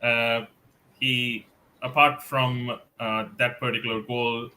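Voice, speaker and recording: A man co-hosts a football chat in a bright, sharp voice, pausing often on fillers as he gathers his thoughts. A faint background noise sits under his voice. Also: mid-range voice, flat delivery. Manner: hesitant, many "uh" fillers, measured. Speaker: podcast co-host. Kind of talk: casual football podcast chat.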